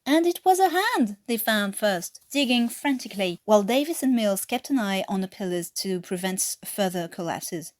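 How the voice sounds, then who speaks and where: A woman from France reads a story aloud in a French-accented voice of medium pitch. Her delivery is that of an expressive amateur narrator, with an occasional stumble over a word. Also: normal speed, hardly any pauses. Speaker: amateur audiobook reader.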